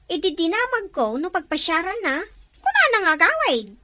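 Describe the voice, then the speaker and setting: A woman's voice: very high-pitched, huge pitch swings, moderate pace, bright, forceful tone, full character voice. A woman with an Ilocano accent playing a timid young female witness in a radio drama. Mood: timid, recounting.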